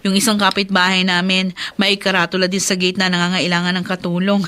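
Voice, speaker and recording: A woman playing a talkative, sharp-edged housewife in a Filipino radio drama. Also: on the low side, completely flat delivery, brisk, a little hiss or hum. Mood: chatty.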